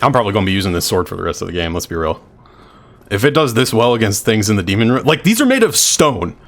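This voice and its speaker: A man with a deep voice, chatting casually and thinking out loud while he streams a video game, swearing freely. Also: sing-song, quick pace.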